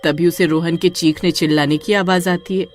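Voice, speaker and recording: A woman narrating a horror story in an expressive storytelling manner. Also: on the low side, moderate pace.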